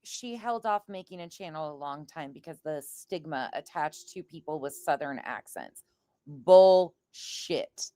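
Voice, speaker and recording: A woman with a low voice delivers casual reaction commentary as a streamer. She is blunt, scornful and profane. The sound is close and dry. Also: expressive, unhurried, warm tone, no room sound.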